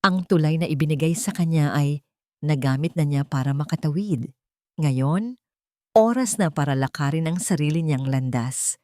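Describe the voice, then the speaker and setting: Deep-voiced woman narrating a dramatic story. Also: moderate pace, a little mellow, no room sound, clean studio sound.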